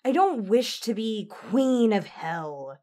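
A woman in an audio drama playing a proud, guarded demon princess with a solemn, formal, measured manner. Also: fairly high pitch, big pitch swings, warm tone. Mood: bitter, resolute.

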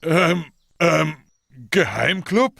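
A man voicing a jovial, boyishly eager daddy pig in a German-dubbed preschool cartoon, gravelly and hearty. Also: fairly high pitch, lively intonation, drawn-out words, background noise, exaggerated voice. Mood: sheepish.

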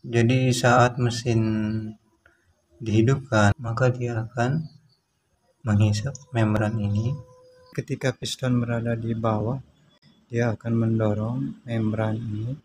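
A man giving a hands-on repair tutorial, explaining in a plain, unhurried way with frequent fillers. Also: medium pitch, flat delivery, darkish tone, a little hiss or hum, rough recording. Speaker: small engine repair instructor.

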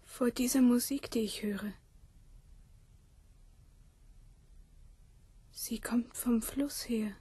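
Female river spirit, radio drama, hushed and breathy, soft dark tone, fearful, wistful, halting. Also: fairly high pitch, steady tone, no echo. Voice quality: breathy, whispery. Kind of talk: intimate German radio play acting.

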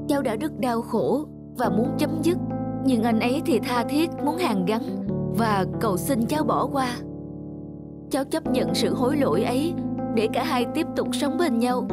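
An actress playing a troubled, polite young wife, confiding earnestly and sorrowfully in an animated drama. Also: female voice, on the high side, lightly acted.

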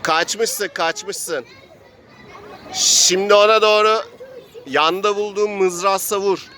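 A man's voice: high-pitched, fairly even intonation, slow, bright, forceful tone, a faint crowd. A man acting as game master, narrating an improvised role-playing adventure in a dramatic play-by-play storytelling manner. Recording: faint street noise and background voices.

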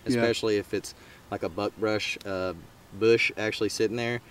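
A man with a very low voice, rambling in a relaxed way as he explains fishing gear. He sits a little back from the mic, and faint noise runs under the recording. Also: normal speed, recorded from a distance. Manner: relaxed, rambling, many fillers. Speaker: fishing channel co-host.

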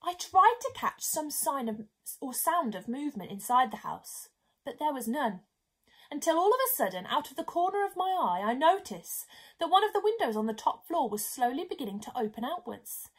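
Animated, high-voiced young woman storyteller. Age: young adult. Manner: dramatic emphasis, drawn-out key words. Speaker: story reader. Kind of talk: expressive children's storybook reading. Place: small bedroom, indoors.

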